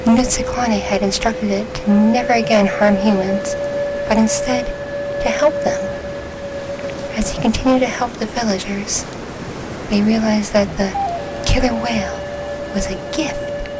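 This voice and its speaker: Lady doing a gentle orca spirit girl, real slow soothing asmr bedtime story, kinda whispery, loud ambient noise behind. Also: medium pitch, close to an everyday voice. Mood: solemn, calm. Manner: soothing, unhurried, drifting into whispers.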